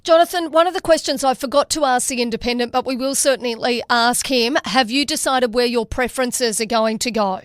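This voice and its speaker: A woman's voice: fairly high pitch, fairly quick, few pauses, no room sound. A woman radio presenter asking a candidate direct questions in a conversational way. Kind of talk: radio interview.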